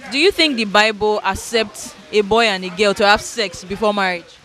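Bright-voiced young woman asking questions. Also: mid-range voice, moderate pace, faint music behind. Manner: direct, probing questions. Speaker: street interviewer. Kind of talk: interview questions. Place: outdoors on a street.

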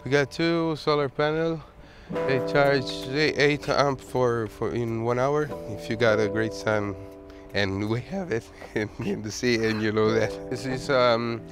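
Italian-accented man giving a boat tour. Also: medium pitch. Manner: halting, searching for English words. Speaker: sailboat owner. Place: outdoors on a sailboat deck and cabin. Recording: background music.

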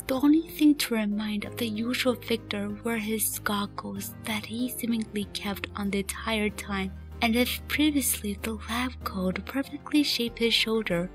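A woman reading scripted story narration as the narrator of a fan radio play. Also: fairly high pitch. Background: music.

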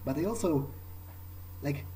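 A man's voice: fairly high pitch, expressive, a little slow, warm tone, a little hiss or hum. A man doing in-character game commentary, thinking aloud in a musing, hesitant way. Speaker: gamer playing in character.

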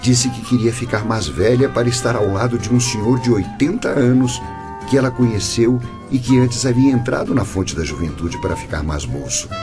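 A man's voice: mid-range voice, normal speed, over music, lightly acted. An actor with a Brazilian accent playing a composed, slyly courteous, mysterious businessman in a radio drama.

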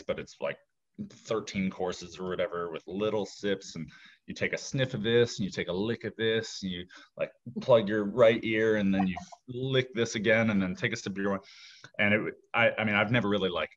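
A man's voice: on the low side, fairly bright tone. Laid-back man telling stories, muffled sound. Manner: laid-back, rambling with fillers. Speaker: guest. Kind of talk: casual storytelling chat.

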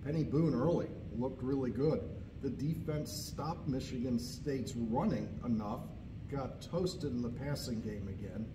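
A middle-aged man hosting a relaxed, casual sports chat, agreeing often, recorded on a distant camera in a large indoor hall. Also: medium pitch, unhurried. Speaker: host. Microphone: far camera. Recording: roomy echo, distant mic, noisy.

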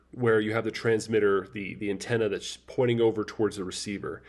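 A man casually walking through a network setup tutorial, talking in a relaxed, halting way with many fillers and restarts. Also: lowish voice. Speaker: tutorial presenter. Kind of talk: casual screen-recorded tech tutorial. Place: voice-over over screen recording.

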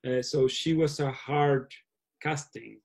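Mellow-voiced man, halting English. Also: mid-range voice, vocal fry. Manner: halting, searching for words. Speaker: filmmaker being interviewed. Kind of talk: casual Q&A on a video call. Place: small room at home. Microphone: laptop video call.

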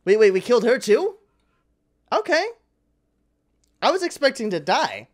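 A man's voice: extremely high pitch, big pitch swings, unhurried. A man in his twenties giving casual gaming commentary into a close microphone in a small room. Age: 20s. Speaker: gaming YouTuber. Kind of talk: casual let's-play commentary. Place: small home room indoors. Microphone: close boom-arm studio microphone with headset.

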